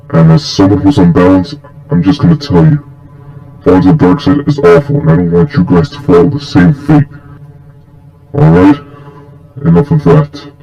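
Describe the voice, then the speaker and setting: A man playing a reformed fallen warrior, a tough Jedi trainer with a deliberate, challenging manner, in an amateur fan skit. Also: on the low side, gravelly, mellow, muffled recording. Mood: solemn, instructive. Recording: music playing under the voice.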